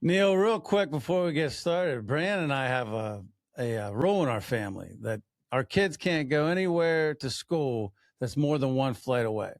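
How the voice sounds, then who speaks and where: A middle-aged man co-hosting a podcast, telling stories in a chatty, halting way with restarts. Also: mid-range voice, lively intonation, no room sound, clean studio sound. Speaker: podcast co-host. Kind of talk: casual chat.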